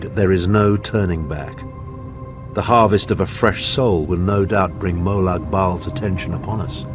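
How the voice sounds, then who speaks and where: A man's voice: on the low side, lightly acted. An actor playing an impatient, haughty, self-serving male mage in a fantasy game, with a sardonic, pompous, clipped delivery.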